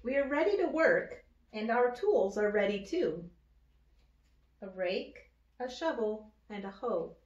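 Slow-paced woman reading aloud in echoey room. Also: fairly high pitch, expressive, a little mellow, a little hiss or hum. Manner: simple, deliberate phrasing with pointing-out asides. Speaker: early education teacher reading aloud. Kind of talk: children's picture book read-aloud. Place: indoor room with wood-paneled wall. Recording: echoey room, slightly dull sound.

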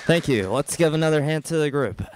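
A man's voice: a little high, lively intonation, fairly quick, over a noisy crowd. A man acting as announcer at a live event, presenting in a formal way. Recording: loud background noise and clicks.

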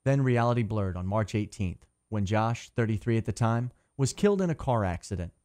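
A man's voice: lowish voice, lively intonation, brisk, a little mellow, slight background noise. A man giving scripted narration for a feature about a local cafe.

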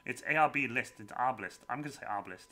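A man hosting a live stream from a room at home, chatting casually with a guest and reading out viewer messages. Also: mid-range voice. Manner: lively, chatty, quick asides to viewers. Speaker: streamer host.

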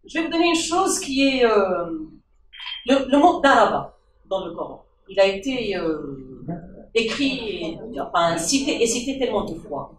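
A woman's voice: fairly high pitch, big pitch swings, measured pace, distant mic. Emphatic woman in an echoey meeting room. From Morocco. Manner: emphatic, questioning, with rhetorical asides. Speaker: audience member. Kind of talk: impassioned debate contribution. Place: crowded indoor meeting room. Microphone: handheld mic.

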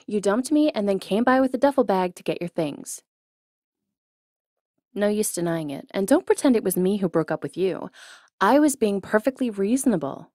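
A jealous, playfully stubborn young ex-girlfriend, acted by a woman, talks straight to the listener in an ASMR roleplay. Her voice sits at a middling pitch and is expressive and teasing. The sound is dry and intimate, with no room around it. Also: no echo, lightly acted. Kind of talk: ASMR roleplay acting, intimate one-sided dialogue.

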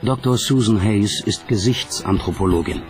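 A man narrates a documentary in a low-pitched voice. He reads from a script, and music plays clearly behind him. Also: normal speed.

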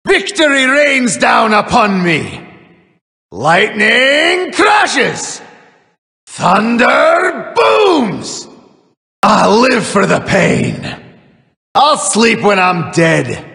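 An actor playing a boastful, battle-hungry Viking thunder god in a video game, gravelly and bombastic. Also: male voice, big pitch swings, very slow, long pauses, in a large hall, full character voice. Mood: fierce, triumphant. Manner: bombastic, booming battle shouts and taunts. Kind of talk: video game character voice lines.

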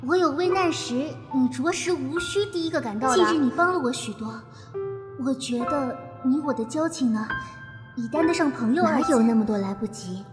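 A woman's voice: high voice, lively intonation, a little fast. A woman acting a young fox-spirit maiden in a fantasy audio drama, earnest, plucky and chatty. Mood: earnest, fond. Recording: long reverb, music underneath.

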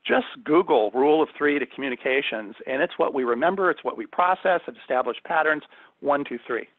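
A man with a slightly high voice teaches an online business workshop. He speaks conversationally but with emphasis, putting questions to his listeners. The sound is narrow and phone-like, with very little room around it. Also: expressive, a little fast, no echo. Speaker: corporate communications trainer. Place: indoors, on a video call. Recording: thin phone-like audio, dry.

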